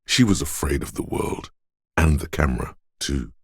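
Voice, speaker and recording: A man voicing a wry, grandiloquent, erudite inner voice of trivia in a video game, lecturing in measured scripted narration. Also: extremely low pitch, expressive, husky, dry recording, heavily acted. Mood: somber.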